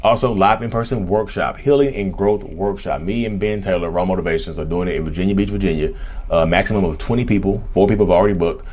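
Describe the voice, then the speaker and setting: Deep, husky man talking casually. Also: fast talker. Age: 30s. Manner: animated, repetitive and emphatic, slangy, acts out imagined dialogue. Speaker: YouTube host speaking about his own narcissism. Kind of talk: casual direct-to-camera talk.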